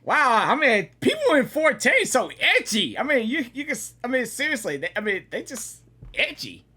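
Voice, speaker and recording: A woman giving casual, excited reaction commentary on a game, slangy, exclamatory and repetitive. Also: a little high, moderate pace, breathy edge. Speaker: gaming reaction host.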